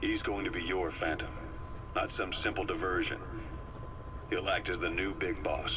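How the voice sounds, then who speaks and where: A deep-voiced, middle-aged spy acted by a man speaks in measured, cryptic phrases. He is calculating and loyal, a video game character heard on a noisy taped recording. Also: steady tone, lightly acted. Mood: calm, calculating. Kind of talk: scripted video game voice acting on a cassette tape.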